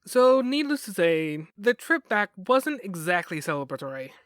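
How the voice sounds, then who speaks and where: High-voiced, animated male podcast narrator. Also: normal speed, smooth, dry recording, studio quality. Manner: wry asides. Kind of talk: scripted documentary podcast narration.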